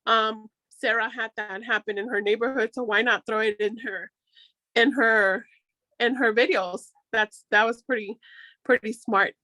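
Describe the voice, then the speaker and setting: A Mexican-born woman whose first language is Spanish gives social media tips in a friendly, chatty way. Her voice sits a little high and has a bright, forceful edge. The sound is dry and close, with little room tone, and she often restarts her sentences. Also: steady tone, a little slow, no echo, rough recording. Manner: chatty and encouraging, with frequent restarts. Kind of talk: casual workshop chat.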